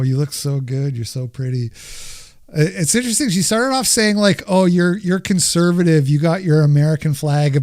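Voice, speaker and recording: A man's voice: on the high side, a little mellow, dry recording, studio quality. A man hosting a podcast, talking in an easygoing, hesitant way with many fillers. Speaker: podcast host. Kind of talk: casual chat.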